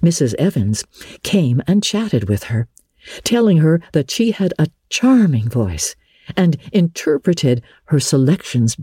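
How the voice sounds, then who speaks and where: A woman narrating classic literature as a dramatic storybook reading, measured and expressive, lingering on phrases. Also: a bass voice, slightly rough, no room sound, studio quality.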